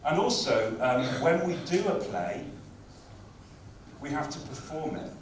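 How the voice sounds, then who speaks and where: Guy talking at a teaching conference, chatty and lively, mic far off, big echoey hall. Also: a little high, a little slow, noisy background. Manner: conversational, lively lecturing. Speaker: conference speaker on language teaching. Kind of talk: conference talk.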